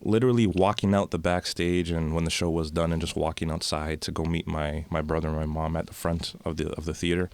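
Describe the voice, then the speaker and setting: Young man from Canada, very low voice, relaxed rambling guest, dry studio sound. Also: brisk, hardly any pauses, no room sound. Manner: relaxed storytelling with frequent restarts. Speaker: guest singer. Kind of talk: casual interview chat.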